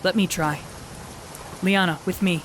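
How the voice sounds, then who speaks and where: A woman's voice: lowish voice, steady tone, background noise, lightly acted. A woman playing a firm warrior sister narrating. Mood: calm firm. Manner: measured, firm first-person narration. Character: battle-hardened woman, a warrior sister, returning home. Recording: rain ambience behind the voice.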